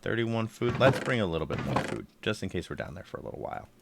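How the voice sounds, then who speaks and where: Low-voiced man, congested, streaming. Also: sing-song, fast talker, creaky, heavy background noise. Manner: chatty, thinks aloud while playing. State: congested, throat tickle. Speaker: game streamer. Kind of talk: casual live gameplay commentary.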